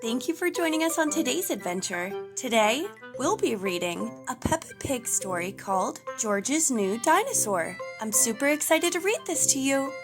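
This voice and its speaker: A woman reading a children's story aloud as host, with enthusiastic, clearly phrased narration for toddlers. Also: sits high, very animated, moderate pace, few pauses, over music.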